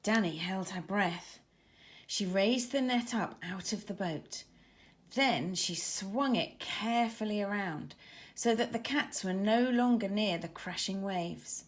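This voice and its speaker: A woman narrating a scripted children's story in a dramatic, expressive manner. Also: mid-range voice, takes it slowly. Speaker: author narrator.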